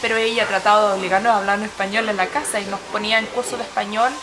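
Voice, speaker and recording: A young woman with a Chilean Spanish accent who first spoke Swedish, answering interview questions with thoughtful pauses. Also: medium pitch, steady tone, rough recording. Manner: thoughtful, with frequent hesitations. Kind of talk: casual interview.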